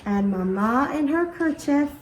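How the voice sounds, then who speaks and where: High-voiced woman reading expressively, echoey room. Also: animated, unhurried, a little hiss or hum. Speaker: school staff member reading aloud. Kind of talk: storybook poem read-aloud. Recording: roomy, echoing room.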